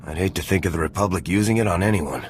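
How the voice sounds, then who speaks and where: A man playing a composed male squad commander with a calm, dry manner in a video game. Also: sits low, fast, a little hiss or hum, lightly acted. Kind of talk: video game cutscene acting.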